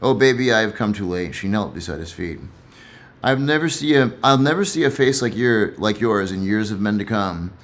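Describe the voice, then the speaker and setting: A man's voice: lowish voice, expressive, moderate pace. A man who reads and comments on poetry in a casual, reflective way, somewhat hesitant and repeating himself.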